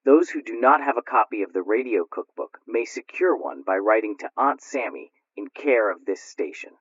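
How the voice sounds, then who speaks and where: A man with a husky voice reading a formal scripted announcement as a radio announcer. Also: on the low side, expressive, moderate pace, dry recording. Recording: dull lo-fi radio recording.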